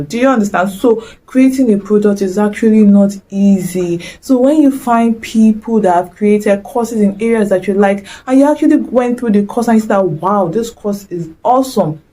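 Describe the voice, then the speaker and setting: Chatty Nigerian woman, mellow voice. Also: mid-range voice. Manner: chatty, exclamatory, mixes in Nigerian slang. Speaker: vlogger. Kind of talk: casual motivational talk to camera.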